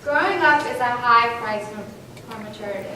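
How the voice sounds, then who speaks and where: A woman performs a dramatic reading on stage in a fairly high voice. She is heard from a distance in a very reverberant space. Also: expressive, moderate pace. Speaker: readers theater performer. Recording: very echoey hall, distant mic, hissy noise.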